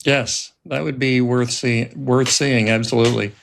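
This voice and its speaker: A man hosting a conversational interview, asking his questions in a halting way. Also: medium pitch, steady tone, vocal fry, a bit bright, slight background noise. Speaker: interviewer, host.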